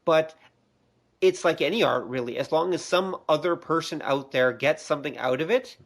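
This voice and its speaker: A male podcast host with a slightly higher-pitched voice speaks conversationally. He works through his points haltingly, picking his words as he goes.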